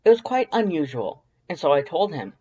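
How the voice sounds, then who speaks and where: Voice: medium pitch, very animated, normal speed, a little mellow, breathy edge, highs cut off. A reader voicing a pompous, theatrical, proud male skeleton with fussy formal diction in an audiobook story. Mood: proud, indignant.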